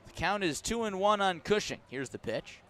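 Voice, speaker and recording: An American man calling a baseball game live on the radio, describing play in measured, short clipped phrases. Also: high voice, lively intonation, sharp tone. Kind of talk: live radio sports commentary.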